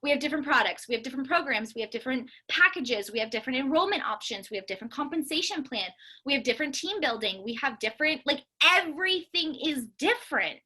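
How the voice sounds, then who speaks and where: High, bright-voiced woman giving a motivational talk. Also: animated, fast, hardly any pauses, slightly rough. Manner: earnest, emphatic, repeats phrases for stress. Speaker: business coach leading a team call.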